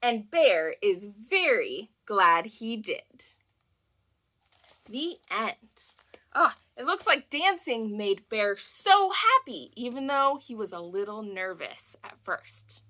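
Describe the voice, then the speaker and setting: High-pitched, animated woman reading to young children. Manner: expressive, deliberate storybook delivery with emphasized words. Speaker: children's librarian hosting a storytime. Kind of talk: animated read-aloud of picture books for young children.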